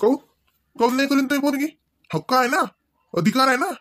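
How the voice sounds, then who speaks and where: A man's voice: very high voice, fairly quick, sharp tone, dry recording, clean studio sound, faint music behind. A man playing a cranky older village husband in a Marathi comedy cartoon, with a rural Maharashtrian accent, hectoring his wife with rhetorical questions. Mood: scolding, exasperated. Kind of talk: comic cartoon dialogue, domestic argument.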